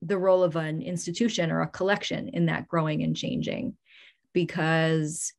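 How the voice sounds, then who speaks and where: Woman curator leading a talk, lowish voice with vocal fry, engaged and thankful. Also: level tone, moderate pace. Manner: engaged, grateful, frequent ums. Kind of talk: moderating a public talk.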